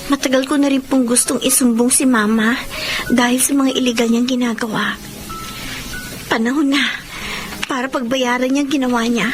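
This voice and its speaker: Actress as a high-voiced, earnest young Filipina. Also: female voice, lightly acted. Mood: resolute, grateful. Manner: earnest, emotional, plaintive. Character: earnest, wounded young Filipina telling her life story. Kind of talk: Filipino radio drama acting. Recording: radio drama over music bed.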